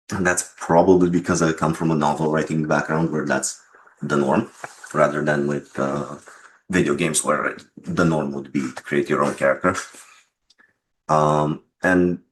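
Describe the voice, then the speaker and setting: Very deep-voiced man, measured. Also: fairly even intonation, slight background noise, poor audio. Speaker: interviewed game developer and writer. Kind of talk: interview answers.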